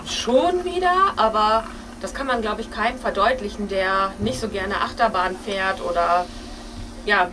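A woman's voice: medium pitch, background noise. A woman in her forties with a German accent, chatting casually in a small restaurant booth, picked up by a camera across the table. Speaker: family vlog member. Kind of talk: casual chat. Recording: slightly distant from the mic.

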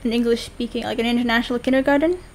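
A woman's voice: fairly high pitch, rapid-fire. A teenage girl, sleepy, talking as a podcast guest with a dry, joking manner. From Indonesia. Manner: dry, joking, laid-back. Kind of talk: casual interview. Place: small home studio lined with bookshelves. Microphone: studio mic on boom arm, wearing headphones.

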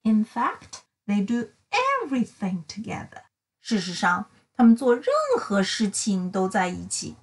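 A woman reading a children's picture book aloud in an expressive, carefully enunciated storytelling manner. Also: mid-range voice, unhurried. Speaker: storybook reader.

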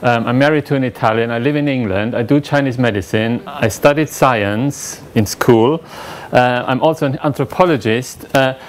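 A man with a German accent giving an academic lecture at a lectern in a lecture room, talking conversationally and questioning the audience. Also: medium pitch. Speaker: conference speaker.

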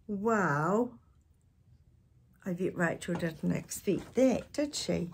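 An older woman with a husky voice reading a picture book expressively to young listeners, adding chatty asides and animated tag questions. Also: on the low side, slow, slight background noise. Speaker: children's storytime reader. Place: small indoor space with curtain backdrop.

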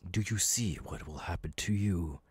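A man voicing a menacing, imperious demon lord, cold and cruel, with a husky, breathy voice, in an ASMR audio roleplay. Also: low-pitched, dry recording. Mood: cruel, threatening. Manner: slow, deliberate, commanding, threatening.

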